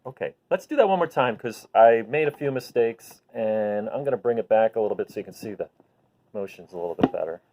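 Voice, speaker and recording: A man hosting a children's bedtime read-aloud, talking gently and simply to viewers in an unhurried way. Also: on the low side, slight background noise. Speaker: host reading picture books to children. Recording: phone-like narrow-band sound.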